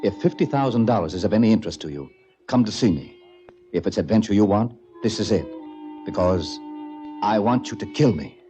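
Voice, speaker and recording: A man delivering a measured, portentous opening in a 1940s radio drama style. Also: on the low side, lively intonation, warm tone, lightly acted. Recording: muffled old radio recording. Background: music.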